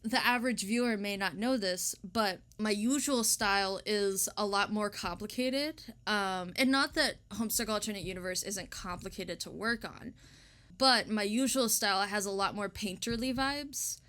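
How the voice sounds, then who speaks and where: Woman, medium pitch, artist guest in an informal interview. Giggly, eager, self-deprecating, conversational. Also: normal speed, a bit bright. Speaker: illustrator being interviewed. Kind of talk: casual chatty interview.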